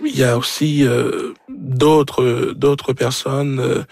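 Haitian guy, creole first language, novelist talking haltingly, raspy creaky voice. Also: mid-range voice, fairly even intonation, darkish tone, dry recording, clean studio sound. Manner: halting, searching for words. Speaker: novelist being interviewed.

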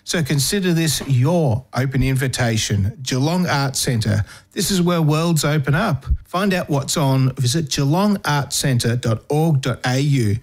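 Ad read, man, mid pitch, smooth and inviting. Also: lively intonation, measured pace, hardly any pauses. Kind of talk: scripted ad voice-over.

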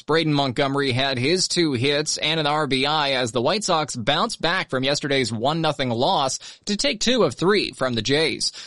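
A man reading a radio news bulletin in a brisk, even newsreading delivery. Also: on the high side, no room sound, studio quality.

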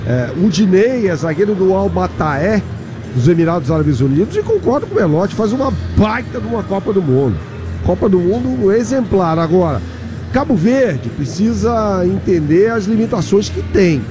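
Brazilian football commentator, opinionated, bouncy high voice. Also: male voice, very animated, normal speed, over music. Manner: opinionated, tag questions. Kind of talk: analytical match commentary.

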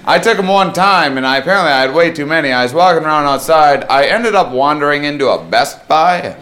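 High-pitched male comic telling a story live. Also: expressive, a little fast, a bit bright. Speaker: stand-up comedian. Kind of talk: storytelling stand-up comedy. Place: live stage before an audience. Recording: live show recording with noisy laughing crowd.

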